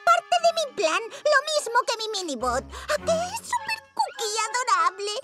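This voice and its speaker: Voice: very high voice, huge pitch swings, a little slow, heavily acted. A performer playing a boastful boy evil-genius inventor in a children's cartoon dub, gloating theatrically with a Castilian Spanish accent. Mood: gloating, scheming. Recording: loud music behind.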